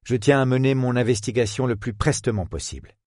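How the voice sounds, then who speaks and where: A man voicing a polite, witty male detective who speaks in a measured, courteous way. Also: medium pitch, a little mellow, dry recording, studio quality, close to an everyday voice.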